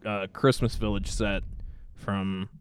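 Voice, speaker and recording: A man's voice: lowish voice, level tone, takes it slowly, a little hiss or hum. A man co-hosting a podcast, chatting casually and bluntly with friends. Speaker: podcast co-host. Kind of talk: casual banter among friends.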